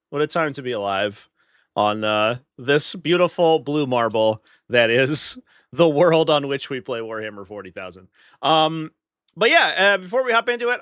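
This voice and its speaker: A man's voice: a little high, bright, forceful tone, smooth, no room sound. A man with a stuffy nose from a flu, hosting a live stream in casual chat, talking straight to his viewers with dry sarcastic asides.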